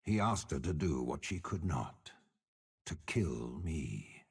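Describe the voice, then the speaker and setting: Old scheming game warlord, extremely low pitch, husky rasp, unhurried, menacing, disdainful. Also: male voice, lively intonation, heavily acted. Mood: cold, ominous. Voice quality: gravelly, husky. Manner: slow, deliberate, disdainful. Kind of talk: dramatic game character voice lines.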